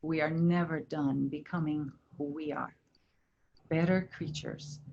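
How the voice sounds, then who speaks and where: A woman plays a gentle, wise river spirit in a staged play. She speaks very slowly and instructively, in a lowish voice. The sound is a little dull and lo-fi. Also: steady tone, vocal fry, husky, heavily acted. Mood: solemn, calm. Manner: measured, earnest, instructive. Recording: dull lo-fi recording.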